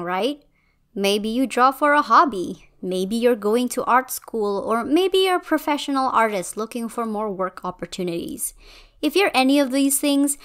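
A woman's voice: fairly high pitch, fairly bright tone, clear, resonant tone. Young woman from Indonesia, video essay voice-over, conversational and opinionated. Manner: conversational, opinionated, a bit wry. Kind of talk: scripted commentary voice-over.

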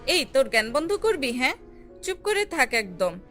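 A woman voicing a vain, haughty, quick-tempered female ripe mango in a children's cartoon, boasting and scolding. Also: sits high, big pitch swings, a bit bright. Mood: furious. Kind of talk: animated children's fairy tale acting. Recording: music under the voice.

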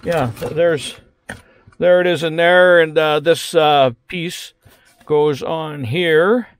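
A man's voice: on the high side, steady tone, slight background noise. A Canadian man, likely in his sixties, explaining a repair in a cramped van with plenty of hesitant fillers and dry jokes. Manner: halting, many uh fillers, dry joking. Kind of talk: casual hands-on explaining. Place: small van interior, lying under a cabinet.

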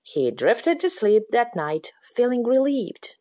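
A woman reading a picture book aloud to children, dramatic and expressive, dropping into hushed whispers at the creepy parts. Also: fairly high pitch, takes it slowly. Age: adult. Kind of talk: expressive read-aloud storytelling.

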